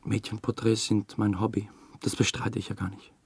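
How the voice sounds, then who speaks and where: An actor playing an uneasy, obsessive theatre dramaturge, confessing hesitantly in a radio drama. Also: male voice, fairly low pitch, little pitch movement, normal speed, vocal fry, breathy edge, no room sound. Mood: defensive. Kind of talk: first-person radio drama narration. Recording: music under the voice. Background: music.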